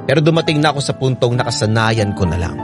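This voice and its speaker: An actor playing a lovelorn young letter writer who narrates a radio drama in an expressive, confiding way, with a Filipino accent. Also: male voice, mid-range voice, quick pace, over loud music, close to an everyday voice. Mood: wistful, frustrated.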